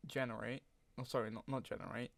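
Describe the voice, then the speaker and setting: A man hosts a casual software walkthrough in a middle-pitched voice. He talks informally and a bit hesitantly, thinking aloud as he goes. The sound is close and dry, with almost no room on it. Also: quick pace, dry recording. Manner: frequent throat clearing. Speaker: tutorial host. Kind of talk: casual software tutorial narration.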